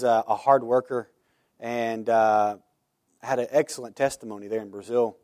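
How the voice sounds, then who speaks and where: A man's voice: medium pitch, darkish tone, no echo, studio quality. A man pastor giving informal church announcements and prayer requests, earnest and full of "uh" fillers. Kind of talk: church announcements before sermon. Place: church sanctuary.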